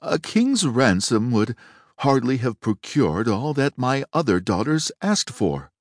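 A man's voice: sing-song, measured pace, no room sound, studio quality. A man reading a storybook aloud, voicing a frightened middle-aged merchant who pleads in a raised, anxious tone. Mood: pleading. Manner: high pleading tone.

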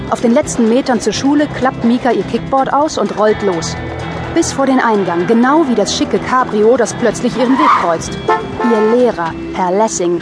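Female narrator over music. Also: a little high. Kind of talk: scripted audio drama narration.

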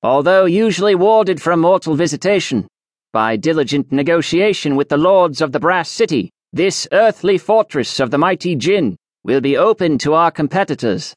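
A solemn, self-important alchemist sage, as a male narrator puts him on, pitched a little above the reader's own voice. He proclaims everything like a formal decree. The sound is dry and close, with no room around it. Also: animated, normal speed, dry recording, lightly acted. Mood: proclaiming. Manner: stately, ceremonial proclamation. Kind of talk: audiobook character voice.

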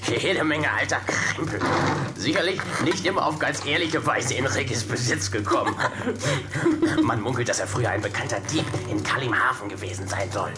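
A man's voice: mid-range voice, moderate pace, over music, natural voice. An actor playing a friendly, chatty male dwarf in a radio drama. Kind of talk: German radio drama acting.

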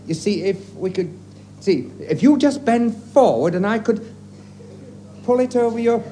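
Man acting a fussy high-voiced dress shop lady. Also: animated, a little slow. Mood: flustered, straining. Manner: fussy, hesitant, deferential, patronising. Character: fussy, flustered female dress shop assistant, falsely polite. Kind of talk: 1950s radio comedy sketch. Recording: noisy vintage radio recording, audience.

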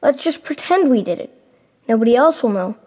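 A woman's voice: on the high side, animated, brisk, a little mellow, pitched above the performer's own voice. A woman voicing hesitant young boy William. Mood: uneasy. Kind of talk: audiobook narration.

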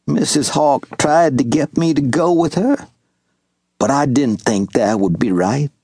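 A man voicing a loyal, humble elderly farm servant who speaks in a slow, earnest Southern rural drawl. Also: medium pitch, warm tone, husky, no room sound. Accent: Southern US rural dialect. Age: older.

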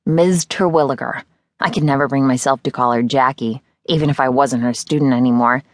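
A woman's voice: extremely low pitch, brisk, dry recording. A woman reading a first-person novel as scripted audiobook narration.

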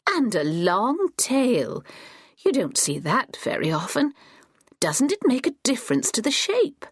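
A woman puts on a muddled old lady in her eighties for this storybook reading. Her voice rises high and wavers slowly through each question, sly and a little unsettling. The sound is dry and close. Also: wildly swooping pitch, slightly rough, no echo, exaggerated voice. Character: confused elderly woman, sly, doting on dogs.

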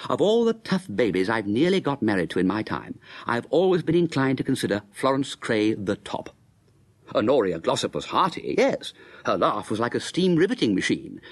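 An actor playing an excitable, amiable, dim upper-class English gentleman in a radio comedy, breezy and exclamatory. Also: mid-range voice, husky. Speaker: actor playing lead and narrator.

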